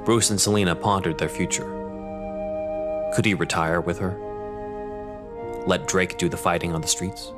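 A man's voice: sits low, steady tone, moderate pace, long pauses, over loud music. A man narrating an audio drama in a scripted, dramatic storytelling style.

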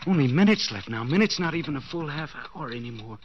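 An actor playing a desperate, guilt-ridden husband in a 1940s radio suspense drama, stammering and repeating himself breathlessly. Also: male voice, a little high, expressive, fast talker, natural voice. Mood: agitated. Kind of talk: 1940s radio suspense acting, interior monologue. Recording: noisy, dull 1940s radio recording.

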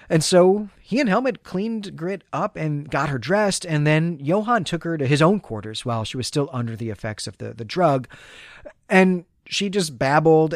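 A man's voice: a little high, dry recording, clean studio sound. Male podcast host, scholarly but conversational. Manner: discursive, scholarly phrasing with colloquial asides. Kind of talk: literary discussion and story recap.

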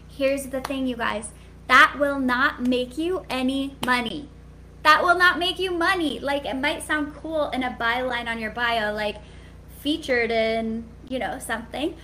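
Sweet high-pitched woman coaching stylists on a live stream, blunt but friendly, lots of "like". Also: fairly bright tone, slight background noise. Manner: candid, chatty, frequent "like" fillers. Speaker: business coach for hairstylists.

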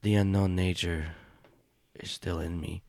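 A man voicing an ancient male spider-bodied outcast creature who speaks slowly in a hushed, halting way, often near a whisper. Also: low-pitched, steady tone. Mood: solemn. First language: not English. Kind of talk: in-character tabletop roleplay.

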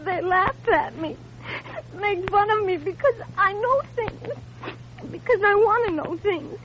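A woman's voice: extremely high pitch, unhurried. An actress playing a wounded, lonely teenage girl, crying as she speaks, in vintage radio drama style. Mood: tearful despair. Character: wounded, lonely fifteen-year-old girl breaking down. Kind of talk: 1950s radio drama acting. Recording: muffled, faintly noisy vintage radio recording.

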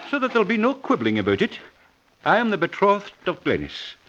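An actor playing a fussy, calculating, cowardly suitor, pedantic and theatrical in 1950s radio style. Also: male voice, high voice, wildly swooping pitch, fairly bright tone, heavily acted. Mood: pompous. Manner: pedantic, deliberate, comically theatrical. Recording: muffled vintage radio, faint noise.

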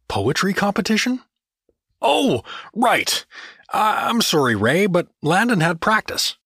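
A man's voice: high voice, sing-song, a little slow, fairly bright tone, breathy edge, no echo, clean studio sound, full character voice. A man playing a well-meaning but clueless dad turned adventurer in a fantasy audio drama, hesitant and apologetic. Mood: apologetic, awkward.

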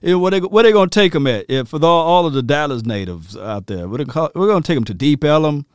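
A man from Mississippi with a Southern accent, hosting a casual sports talk show and reacting with lively, laughing asides to his listeners. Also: a little high, fast talker, clear, resonant tone, no room sound, clean studio sound. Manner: animated, laughing, talks straight to listeners. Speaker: podcast host.

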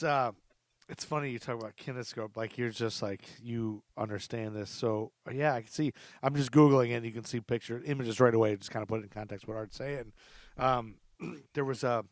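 A man's voice: mid-range voice, normal speed, no echo. A middle-aged man hosting a podcast in casual chat, with a rambling, self-deprecating way of talking. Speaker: podcast host.

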